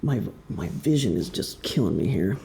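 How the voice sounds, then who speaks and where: Mellow, creaky-voiced Midwestern American man. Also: deep voice, expressive, normal speed, slight background noise. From Wisconsin, United States. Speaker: author presenting his novel. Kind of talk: public book talk. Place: indoor presentation room. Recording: echoey room.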